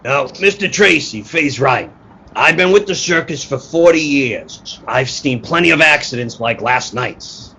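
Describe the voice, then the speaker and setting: A man playing a gruff older circus veteran with a gravelly voice in a radio play. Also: medium pitch, expressive, measured pace, sharp tone, slight background noise, full character voice. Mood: dismissive. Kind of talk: old-time radio play acting.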